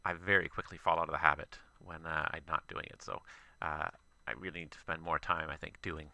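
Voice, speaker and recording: A man's voice: very deep voice, brisk, no echo. A man working as a virtual air traffic controller, giving radio calls in hesitant non-native French with many "euh" fillers, then chatting casually with viewers on a headset mic. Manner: crisp, loud controller calls, then quieter relaxed chat.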